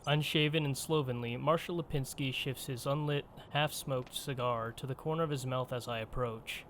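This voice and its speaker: A man's voice: mid-range voice, fairly even intonation, moderate pace, a bit bright, slight background noise. A man reading a video game's dialogue and narration aloud as the player. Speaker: gamer voicing player lines and narration.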